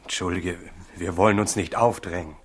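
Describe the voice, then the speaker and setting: An actor in a 1960s German radio play, playing an eager, awed, polite shepherd with a husky voice and earnest manner. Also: male voice, sits low, fairly even intonation, moderate pace.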